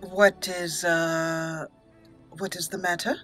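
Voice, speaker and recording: Bright-voiced woman doing game commentary. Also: fairly low pitch, level tone, slow pace, soft background music. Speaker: let's play host. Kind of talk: casual game commentary with roleplay.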